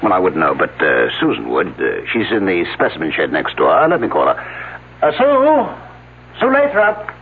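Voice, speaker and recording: Low-voiced fussy professor, old radio. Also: male voice, wildly swooping pitch, moderate pace, husky, phone-quality sound, exaggerated voice. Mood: helpful. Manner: hesitant, self-justifying. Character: fussy, put-upon male archaeology professor. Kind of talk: 1970s radio drama acting. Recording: thin, band-limited old radio recording.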